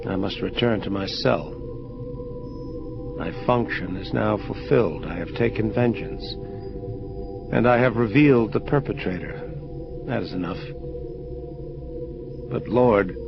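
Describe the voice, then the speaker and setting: A man playing a solemn, troubled angel of vengeance in an audio drama, speaking in formal, measured phrases. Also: lowish voice, vocal fry. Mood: weary, sorrowful. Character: grave, troubled male angel of vengeance confronting his creator. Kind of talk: dramatic audio play dialogue. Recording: dull lo-fi audio under music. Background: prominent atmospheric music.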